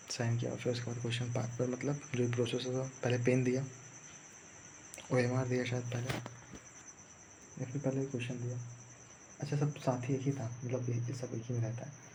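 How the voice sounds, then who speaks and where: A man's voice: mid-range voice, warm tone. A young man telling a story casually, in a rambling, repetitive way. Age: young adult. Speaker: vlogger recounting own exam day. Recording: muffled lo-fi audio, faint background noise.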